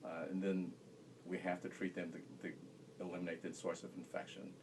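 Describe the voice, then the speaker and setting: Deep flat-voiced frustrated doctor. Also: male voice, normal speed. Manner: hesitant, frustrated, many fillers. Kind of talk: interview. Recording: noisy room ambience.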